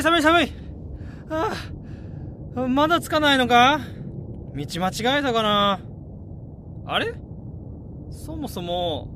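Casting: male actor as a solitary searcher thinking aloud, unhurried but lively, radio drama monologue under prominent music. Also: sits high, background noise. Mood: cold, impatient. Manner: muttering monologue, musing aloud with exclamations. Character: lone man on a nostalgic search, muttering to himself.

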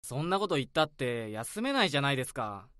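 A man's voice: medium pitch, very animated, fast talker, bright, forceful tone, no room sound, clean studio sound. An actor playing a brash, complaining young male office worker in his twenties in a radio drama.

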